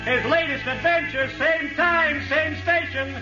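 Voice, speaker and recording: Casting: an excited street newsboy, very high voice, slow chanting calls, 1930s radio, lo-fi sound. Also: male voice, over music. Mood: excited hawking. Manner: chanting, calling out in short phrases. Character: high-voiced newsboy hawking an extra edition. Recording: dull lo-fi old radio recording.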